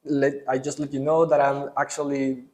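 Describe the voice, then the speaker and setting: A man's voice: medium pitch, completely flat delivery, normal speed, darkish tone. Young man from El Salvador, rambling. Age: young adult. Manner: rambling, lots of fillers and restarts. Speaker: interview guest, student. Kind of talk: casual conversational interview.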